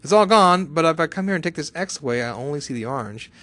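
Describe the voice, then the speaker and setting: A man giving a live software tutorial to a class, chatty, often asking questions and answering them himself. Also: on the high side, lively intonation, fast talker. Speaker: software instructor.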